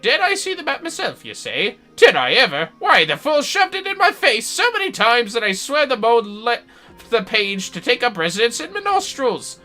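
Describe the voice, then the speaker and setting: Streamer doing a mocking fantasy digger, folksy, emphatic, forceful, wildly varied pitch, game character reading. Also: sing-song, moderate pace, faint music behind. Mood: scornful, amused. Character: gruff, scornful, talkative old-time fantasy treasure digger.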